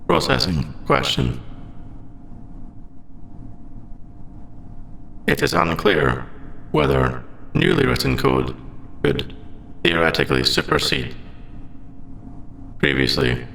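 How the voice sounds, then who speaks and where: Gravelly, formal android AI, acted by a man. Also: medium pitch, fairly bright tone, slight background noise, exaggerated voice. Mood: puzzled, analytical. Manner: stilted, precise, deliberate robotic phrasing. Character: formal, logical male android AI, secretly sentient and earnest. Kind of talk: scripted ASMR audio roleplay.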